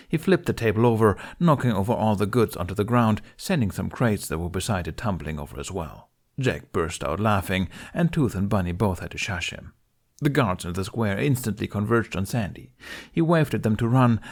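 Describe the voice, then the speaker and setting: A man reading fan fiction aloud as narrator, in an expressive scripted storytelling style. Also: lowish voice.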